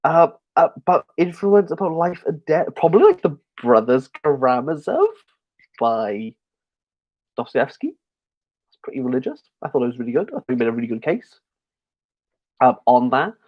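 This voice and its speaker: A man's voice: medium pitch, sing-song, moderate pace, pauses often. A man in the middle of his range chats loosely through a livestream Q&A, a little tipsy. He rambles, restarts sentences and leans on fillers. The audio is slightly dull and lo-fi. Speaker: book channel host. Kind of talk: casual livestream Q&A.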